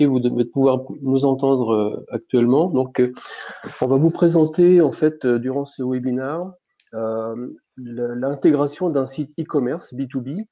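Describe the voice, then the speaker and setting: A man's voice: mid-range voice, mellow. A man hosting a business webinar, talking with many hesitations and restarts. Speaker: webinar host. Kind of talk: webinar presentation. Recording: dull lo-fi webinar audio.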